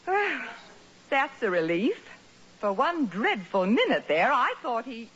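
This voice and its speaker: A woman playing an excitable, indignant middle-aged wife and mother in a 1940s radio comedy, with theatrical astonishment. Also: fairly high pitch, slow. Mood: relieved. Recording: muffled, hissy old radio recording.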